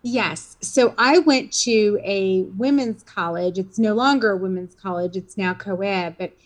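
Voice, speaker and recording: A woman's voice: medium pitch, unhurried. Fifties woman, interview guest, rambling conversational delivery. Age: 50s. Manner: rambling, many fillers and restarts.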